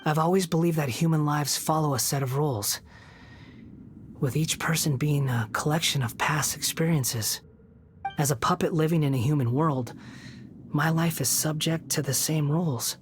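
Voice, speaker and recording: A brooding young puppet wanderer, voiced by a woman, speaking low and subdued with a bitter, earnest edge. Faint game ambience hums behind him. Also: normal speed, slight background noise, natural voice. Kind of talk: video game cutscene dialogue.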